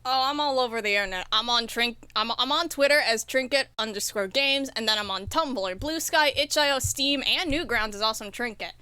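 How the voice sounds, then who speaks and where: A woman's voice: fairly high pitch, a little fast, few pauses, sharp tone, smooth, dry recording. A woman speaking as a podcast guest and indie developer, chatting casually in an enthusiastic, earnest way. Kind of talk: casual interview chat.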